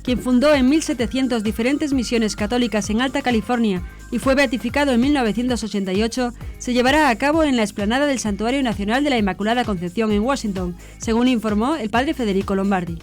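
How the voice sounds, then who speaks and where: A woman presents scripted news in a formal, measured manner. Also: on the high side, darkish tone. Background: background music.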